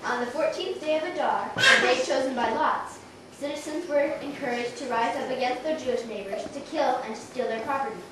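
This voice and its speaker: A woman's voice: high voice, a little fast. A woman reading scripted story narration for an amateur puppet show. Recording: echoey room, distant mic, clear noise.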